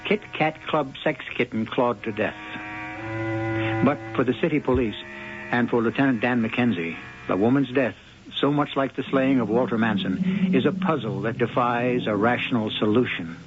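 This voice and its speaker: A man narrating a 1970s radio mystery drama in a measured, ominous storytelling manner. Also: medium pitch, close to an everyday voice. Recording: lo-fi old radio broadcast with music.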